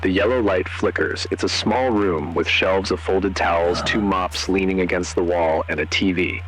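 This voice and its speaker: A man narrating a scripted horror story in the second person, in short, measured, suspenseful phrases. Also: low-pitched, fairly even intonation, fairly bright tone, a little hiss or hum, rough recording. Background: music.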